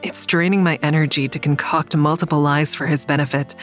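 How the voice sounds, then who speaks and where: An actress plays a guarded, sleepless woman with a low voice. She confides in the listener with understated delivery, as the narrator and lead of an audio drama. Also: fairly even intonation, fairly quick, soft background music, natural voice. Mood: weary, confiding. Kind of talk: audio drama first-person narration and dialogue.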